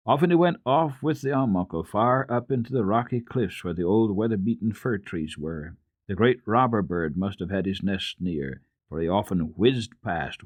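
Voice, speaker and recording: A man with a fairly low voice narrates a children's classic in the manner of a radio storyteller. His delivery is measured and expressive, and the sound is close and dry, with very little room. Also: dry recording. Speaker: storyteller, radio program host. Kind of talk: dramatic reading of a children's book.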